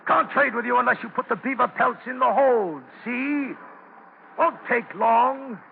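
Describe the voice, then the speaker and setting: An actor playing a scheming, greedy English sea captain with a gravelly voice, in 1940s radio style. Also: male voice, very high voice, unhurried, full character voice. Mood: coaxing. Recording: tinny, hissy 1940s radio recording.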